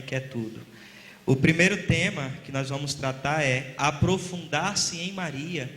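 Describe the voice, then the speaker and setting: A man's voice: a little high, slight background noise. A Brazilian man in his thirties, speaking with a Brazilian Portuguese accent, prays and preaches with fervent, earnest delivery. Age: 30s. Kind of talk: prayer and live sermon. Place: large hall at a religious gathering. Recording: echoey hall, loud guitar behind. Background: loud guitar music.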